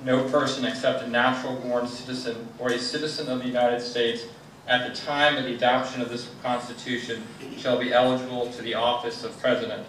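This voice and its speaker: A man's mid-pitched voice reads a legal document formally to a gathering. It is heard from far off in a very echoey space. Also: flat delivery, fairly quick, a bit bright. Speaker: invited guest reader, state attorney. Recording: echoey event, distant mic, faint noise.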